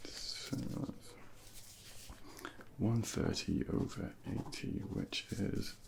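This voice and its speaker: A man softly whispering a medical ASMR role play as a junior doctor, gently reassuring and instructing, close to a binaural microphone. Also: mid-range voice, animated, very slow, a little hiss or hum, low-quality recording. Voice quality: breathy whispering, gravelly.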